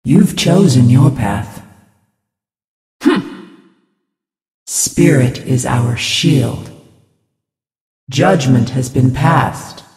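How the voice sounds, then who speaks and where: An actor playing a serene, solemn spirit-healer of a mystic order in a game, speaking in calm, measured, sermon-like phrases. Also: male voice, mid-range voice. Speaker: game character voice. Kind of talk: game voice lines. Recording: echoey with long reverb, distant.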